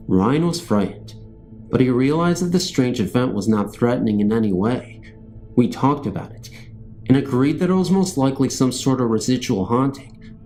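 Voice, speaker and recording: Male narrator for bedtime ghost stories: mellow, warm timbre, measured scripted read, light music bed. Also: mid-range voice. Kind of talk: scripted narration of scary first-person stories. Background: background music.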